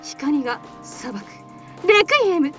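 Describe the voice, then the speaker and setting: A woman's voice: sits high, big pitch swings, a little slow. A woman hosting a radio show, bantering and explaining a game to a group. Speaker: host of a radio talk and voice drama show. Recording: loud music under the voice. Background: loud music.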